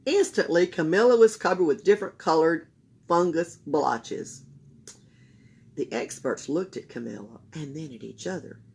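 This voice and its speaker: A woman reading a children's story aloud, expressive and emphatic, with deliberate phrasing. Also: deep voice, gravelly, husky. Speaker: library storytime reader. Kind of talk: animated children's read-aloud.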